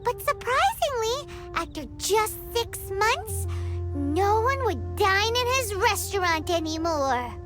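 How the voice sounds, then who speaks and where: A woman voicing a tiny, excitable female fairy companion in a game cutscene, telling a story in a playful, animated way. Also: slow, heavily acted. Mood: storytelling. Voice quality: high squeaky childlike voice. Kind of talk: video game cutscene dialogue. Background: loud game music.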